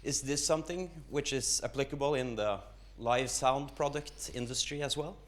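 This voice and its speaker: A man in the audience at an industry expo asking the presenter two measured, curious questions, with a few fillers. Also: medium pitch.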